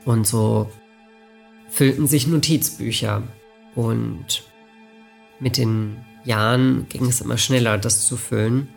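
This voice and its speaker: A man reminisces in a reflective, matter-of-fact way. His mid-pitched voice is extremely creaky and gravelly. Faint music sits behind him. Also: steady tone, slow pace.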